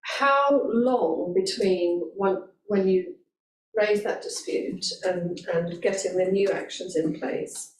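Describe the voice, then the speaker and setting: A woman on a committee presses for clear answers, questioning persistently and asking to finish her point. She sits away from the microphone in a roomy council chamber, with faint background hiss. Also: medium pitch, takes it slowly. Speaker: committee member. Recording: distant mic in an echoey room, faint noise.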